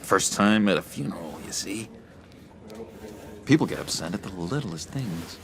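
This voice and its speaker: An actor playing an eccentric, unsettling former asylum inmate in a video game, awkwardly eager, with halting, theatrical delivery that swings between giggly and brooding. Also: male voice, deep voice, animated, creaky, background noise. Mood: wistful, unsettling.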